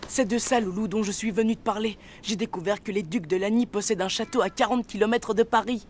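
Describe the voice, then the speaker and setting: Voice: medium pitch, steady tone, quick pace, darkish tone, slight background noise, close to an everyday voice. An actor playing a brave, protective boy in a French cartoon, earnest and gallant. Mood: revealing. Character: brave, protective boy, friend of a young girl. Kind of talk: dubbed French cartoon acting.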